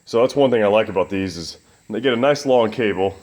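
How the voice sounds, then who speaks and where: A man with a loud voice, casually reviewing a fan in his home gym, rambling with lots of fillers and restarts. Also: lowish voice, a little fast. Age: adult with children. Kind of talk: casual product review vlog.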